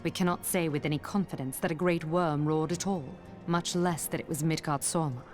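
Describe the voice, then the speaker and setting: Woman playing a low-voiced, formal knightly officer. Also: fairly even intonation, over music, lightly acted. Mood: serious, resolute. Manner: formal, measured, grave. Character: composed, dutiful female knightly officer, serious and formal. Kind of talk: scripted video game dialogue.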